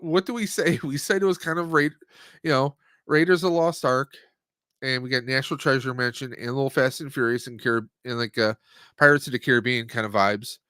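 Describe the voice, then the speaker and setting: A man's voice: medium pitch, a bit bright, no echo. A man hosting a casual movie review stream, talking in a rambling, conversational way and often rephrasing himself. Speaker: podcast host.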